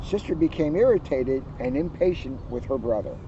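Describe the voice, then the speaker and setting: A man reading a children's book aloud in an animated, emphatic way, adding joking asides. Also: medium pitch, normal speed. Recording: muffled, outdoors with vehicle noise. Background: outdoor ambience, passing cars.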